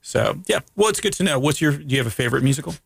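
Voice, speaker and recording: A man's voice: fairly high pitch, animated, very fast, gravelly, slightly rough, no echo, clean studio sound. A man hosting a casual, joking interview chat. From Greek family background. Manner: joking, conversational. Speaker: host.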